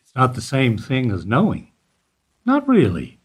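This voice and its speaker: Husky, guarded older bank employee, played by a man. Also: mid-range voice, huge pitch swings, full character voice. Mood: guarded, evasive. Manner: guarded, deliberate, evasive. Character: evasive older male bank foyer employee under questioning. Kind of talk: radio play acting.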